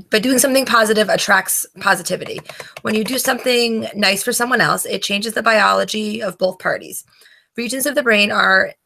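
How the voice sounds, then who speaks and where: A woman's voice: mid-range voice, gravelly, bright, forceful tone. A woman from New Jersey, a student debater reading a prepared presentation from notes, stumbling and restarting words now and then. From New Jersey, USA.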